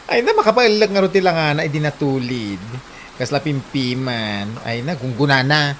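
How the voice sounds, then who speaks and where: A woman's voice: rumbling low, animated, moderate pace, a little hiss or hum. A performer, heard as a woman, acting a mocking, scolding relative in an Ilocano comedy drama, taunting and exclamatory with an Ilocano accent.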